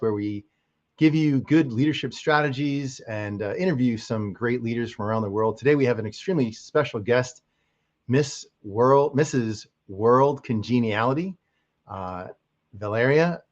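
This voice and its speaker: A man's voice: mid-range voice, moderate pace. A man hosting an interview podcast, welcoming a guest in a friendly, loose way. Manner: friendly, loose, with fillers and restarts. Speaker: podcast host. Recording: dull lo-fi audio.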